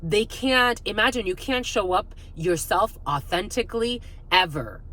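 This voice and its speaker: A woman's voice: mid-range voice, lively intonation, measured pace, fairly bright tone. A woman hosting an intuitive spiritual reading, talking casually and off the cuff, rambling and repeating her phrases with "like" thrown in often.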